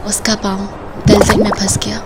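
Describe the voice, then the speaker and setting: A woman's voice: mid-range voice, monotone, moderate pace, heavy background noise. A woman narrating a scripted horror story in a suspenseful, dramatic manner.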